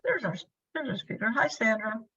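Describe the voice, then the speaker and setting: A middle-aged woman chatting casually and apologetically as she hosts an online club meeting from a bedroom office, with a husky voice. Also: on the high side, expressive, normal speed, bright, forceful tone, slight background noise. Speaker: club meeting host, filling in for the president. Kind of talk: casual pre-meeting chat on a video call. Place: bedroom used as a home office. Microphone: video call. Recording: dull, lo-fi video call audio.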